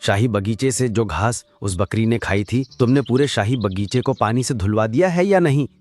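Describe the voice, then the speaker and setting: A man's voice: mid-range voice, animated, moderate pace, a little mellow, close to an everyday voice. A man voicing an arrogant donkey who rules the jungle, speaking in a commanding royal manner in a Hindi cartoon. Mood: haughty.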